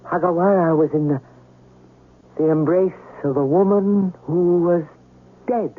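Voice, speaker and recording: A man's voice: sits high, measured pace, heavy background noise. A man playing a loyal male servant in a radio drama, hesitant, deferential and fearful. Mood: hesitant dread. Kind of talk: old-time radio drama acting. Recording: tinny, narrow old radio recording.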